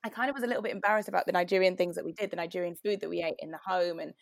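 A woman's voice: on the low side, steady tone, very fast, creaky, no room sound, studio quality. A young woman from Britain, a guest in a casual chat, talking reflectively and often restarting her sentences.

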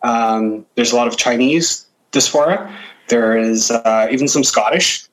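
Male interview guest from Guyana and Canada, middle register, thoughtful and conversational, with halting word searches. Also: medium pitch, rough recording. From Guyana, moved to Canada as a child. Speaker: podcast guest.

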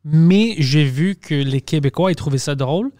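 A man speaking French with an English-tinged accent, pitched a little high for a man. His podcast talk is loose and profane, full of stops and restarts, and the sound is dry and close. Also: moderate pace, darkish tone, no echo. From Quebec. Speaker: podcast host, stand-up comedian. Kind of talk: casual podcast chat.

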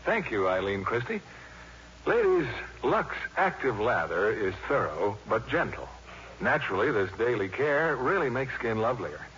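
A man's voice: mid-range voice, very animated, normal speed, a bit bright. A man announcing scripted 1950s radio commercials in a polished, salesmanlike manner. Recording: muffled, noisy old 1950s radio recording.

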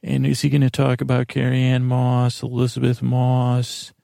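A man in his forties hosting a bedtime podcast, rambling haltingly and interrupting himself in a self-deprecating monologue. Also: medium pitch, completely flat delivery, creaky, dark tone, dry recording, clean studio sound. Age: 40s. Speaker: podcast host.

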